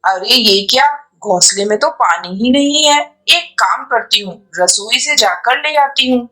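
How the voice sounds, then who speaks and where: Lady doing an older mother-in-law pigeon for a cartoon, sharp highish voice, dramatic and naggy. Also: lively intonation, unhurried, low-quality recording. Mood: puzzled, suspicious. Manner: dramatic, scolding, exclamatory. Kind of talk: Hindi animated moral story acting.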